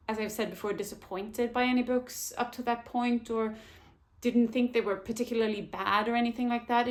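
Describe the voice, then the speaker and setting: Slow-talking, hesitant book vlogger woman. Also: fairly high pitch. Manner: hesitant, restarts often, laughs lightly. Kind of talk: casual book talk to camera.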